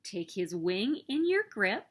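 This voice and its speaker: A woman reading a children's picture book aloud as story host, with expressive, emphatic delivery. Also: medium pitch, takes it slowly. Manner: expressive, emphatic storytelling with sing-song refrains.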